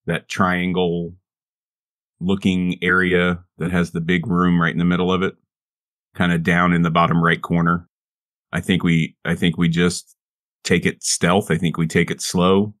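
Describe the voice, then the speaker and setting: Deep-voiced man with fairly level intonation. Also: completely flat delivery, unhurried, a bit bright, dry recording, clean studio sound. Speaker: tabletop game player. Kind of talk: casual game-table talk. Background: background music.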